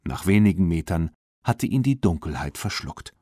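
A man narrates a children's story in standard German with a low voice. His pitch moves in lively swings, and the recording is dry and close, with no room sound. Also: normal speed, slightly rough, no room sound. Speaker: audiobook narrator.

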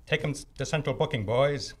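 A middle-aged man playing a genial, hard-boiled police lieutenant with a husky voice in an old-time radio drama on stage. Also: mid-range voice, fairly quick, a little mellow, natural voice. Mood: brisk, commanding. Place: on a stage, live performance. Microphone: large vintage-style microphone on a stand.

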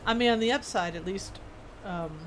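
A woman's voice: mid-range voice, moderate pace, slight background noise. A woman streaming a game, thinking aloud in a casual, rambling, self-deprecating way. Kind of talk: casual live gameplay commentary, thinking aloud.

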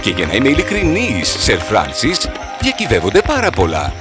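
A man playing a composed, resolute English gentleman adventurer in a storybook, speaking calmly and formally. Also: mid-range voice, over music. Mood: earnest.

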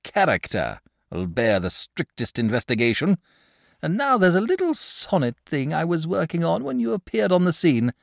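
A man's voice: fairly high pitch, wildly swooping pitch, normal speed, gravelly, dry recording, clean studio sound, voice pushed up, exaggerated voice. Genial bashful dragon, polite and chatty, lifted voice, swooping lines, man doing it. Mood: cheerful. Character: genial bashful male dragon, lazy poet.

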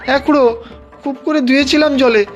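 Cartoon village husband voiced by a woman: simple, forgetful, whiny, with a high-pitched voice. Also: fairly even intonation, quick pace, muffled recording, natural voice. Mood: whining, distressed. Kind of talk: animated cartoon dialogue. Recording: music clearly behind the voice.

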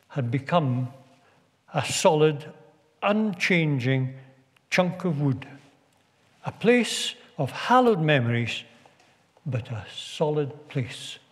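Male minister, unhurried sermon delivery, reverberant stone church. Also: mid-range voice. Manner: slow, measured preaching with deliberate pauses. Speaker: visiting minister.

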